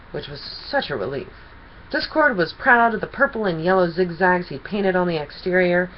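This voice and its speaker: A woman reading a children's story aloud as an expressive storyteller. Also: mid-range voice, dull audio, a little hiss or hum.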